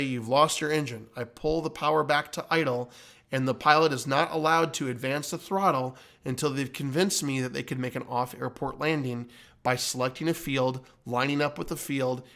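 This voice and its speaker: A man's voice: medium pitch, creaky, a bit bright. A man giving an explanatory commentary like an instructor, teaching emphatically and repeating key points. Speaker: flight instructor and host.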